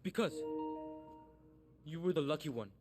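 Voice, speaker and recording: A man's voice: on the high side, moderate pace, natural voice. A young actor playing a frightened teenage boy, the son of a dictator, in a game cutscene, earnest and anxious. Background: game score music.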